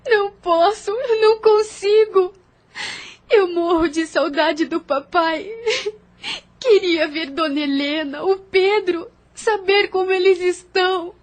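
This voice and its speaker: A very high-voiced young woman, anxious for her brother and father, as an actress voices her. She pleads with him in worried, earnest words in an audio drama. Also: fairly even intonation, slow pace. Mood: tearful.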